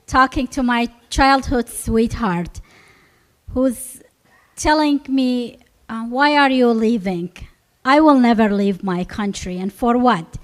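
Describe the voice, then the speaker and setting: A woman in her fifties telling a personal story to an audience in an unhurried, reminiscing way. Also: a little high. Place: library event stage.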